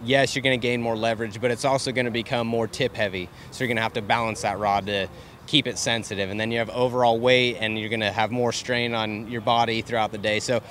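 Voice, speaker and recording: A male professional angler answering interview questions outdoors in a measured, explanatory way. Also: medium pitch, a bit bright. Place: outdoors by boats. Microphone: handheld mic held by interviewer. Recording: faint outdoor noise.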